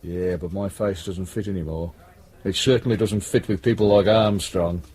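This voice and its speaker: Low, husky, jaded English detective. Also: male voice, steady tone, moderate pace, a little mellow. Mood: bitter, resigned. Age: 40s. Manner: world-weary, dry, sardonic. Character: jaded, cynical male detective sergeant in his forties. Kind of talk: radio drama acting.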